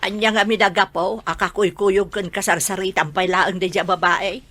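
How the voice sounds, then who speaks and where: A woman playing a bright-voiced scolding mother. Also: mid-range voice, fairly even intonation, moderate pace, natural voice. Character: mother, nagging, suspicious, scolding. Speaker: radio drama actor. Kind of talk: radio drama acting.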